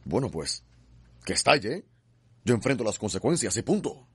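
A husky Cuban schemer, brusque and defiant, in a radio drama. His low voice swings widely as he waves objections away, and the sound is close and dry. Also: very animated, normal speed, a bit bright, no room sound, exaggerated voice. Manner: brusque, dismissive. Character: arrogant, defiant, scheming grown son.

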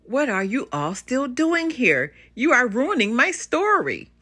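A woman's voice: high-pitched, huge pitch swings, measured pace, sharp tone, husky, exaggerated voice. A woman voicing a bossy, indignant little girl in a children's storybook, demanding and exclamatory. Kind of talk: children's storybook read-aloud.

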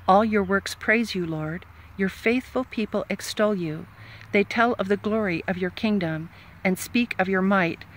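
Female scripture reader, mid-pitched voice, reading aloud with faint ambient noise behind.

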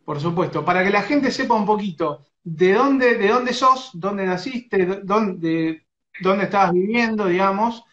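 A man's voice: high-pitched, a little slow, a bit bright. A man with an Argentine Rioplatense accent, interviewing a young footballer over a phone live stream, talking in hesitant stops and restarts. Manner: hesitant, many fillers and restarts. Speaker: sports radio interviewer. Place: indoors, small room. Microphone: phone front camera. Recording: roomy, muffled phone audio.

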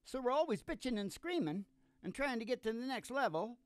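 A man's voice: very high-pitched, sing-song, brisk, dry recording, studio quality. An older man hosting a music podcast, bantering in a rambling, folksy, jokey way on a stage microphone. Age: older, under eighty. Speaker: podcast host and country musician. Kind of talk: rambling comic philosophical banter. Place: small curtained studio stage. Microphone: stand-mounted vocal microphone.

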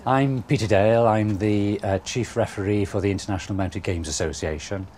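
A man who is a chief referee and vet, speaking in a measured, hesitant way with many fillers in an interview. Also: fairly low pitch, a little mellow, slight background noise.

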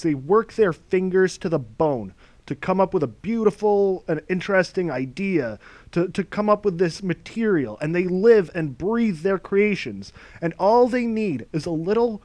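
A man's voice: sits high, no echo. A man narrating a scripted commentary video in a scornful, mocking, ranting manner.

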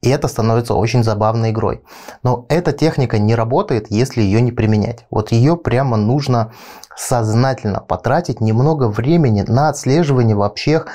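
A man in his thirties speaks as a coach in an instructional video. His voice is mellow and relaxed, at a middling pitch. He explains step by step and talks straight to the viewer, recorded on a lapel mic in a small studio. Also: brisk. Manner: explanatory, step-by-step, direct address. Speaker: video blog host, coach and trainer. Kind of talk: instructional talk to camera, addressing the viewer. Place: small indoor studio with panelled backdrop.

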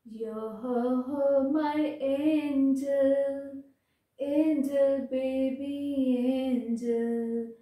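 A young woman softly singing a pop love ballad with no accompaniment, sitting on a bed in a small bedroom with a handheld mic. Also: sits high, highs cut off. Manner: soft, unaccompanied singing. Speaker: singer. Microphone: handheld mic held close. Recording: very echoey room, voice sounding distant.

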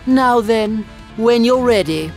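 An actor playing a haughty, cruel alien emperor in a game, with formal, condescending and theatrical speech. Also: male voice, extremely high pitch, animated, slow, faint music behind, exaggerated voice. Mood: smug, condescending. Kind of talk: dramatic English game dub acting.

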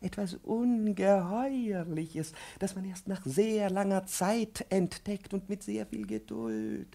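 An actor playing a pedantic, irascible professor in a 1950s German-language radio play, pompous and didactic. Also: male voice, high voice, expressive, slow, creaky, mellow, heavily acted. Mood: pompous lecturing. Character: pedantic, irascible male professor, lecturing and browbeating his pupil.

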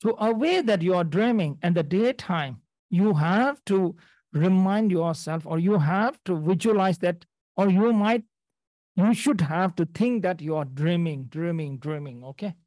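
A man's voice: high voice, darkish tone, dry recording. A man from Nepal teaching a dharma class over a video call, often restarting phrases and checking in with the listeners. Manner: halting, repeats phrases, checks in with "okay?". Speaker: Buddhist teacher.

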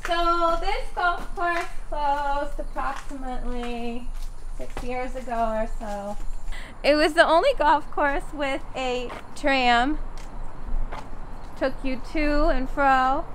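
A woman's voice: sits high, takes it slowly, a bit bright, echoey room, a little hiss or hum. A young woman hosting a casual exploring vlog, chatty and playful, with joking asides, talking to a handheld camera in an abandoned clubhouse. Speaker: urban explorer host. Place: abandoned golf clubhouse, indoors and out.

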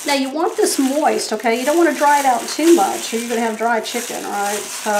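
A middle-aged woman, chatting with viewers as she demonstrates a recipe in her home kitchen. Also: fairly high pitch. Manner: chatty, folksy, checks in with viewers. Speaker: home cooking host. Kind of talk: cooking demonstration. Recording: echoey kitchen with faint noise.

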